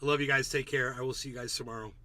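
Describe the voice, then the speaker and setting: A streamer signing off with casual, deadpan rambling, often dropping into a low mumble. His tone is very bright and sharp. The delivery is loose and offhand, with nothing performed. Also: racing, slight background noise. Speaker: streamer host. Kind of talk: casual livestream sign-off chat.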